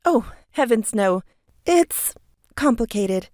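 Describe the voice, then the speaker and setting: A woman's voice: fairly high pitch, lively intonation, slow, darkish tone, no echo, studio quality. A woman acting a guarded, irritable magician who gives evasive, clipped answers in an audio drama. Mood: guarded, irritated.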